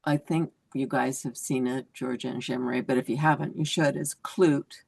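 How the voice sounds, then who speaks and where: A woman's voice: very deep voice, fairly even intonation, normal speed, gravelly, a little mellow, breathy edge. A woman in an interview, eagerly recommending a film she loves. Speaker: actor. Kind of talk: interview chat.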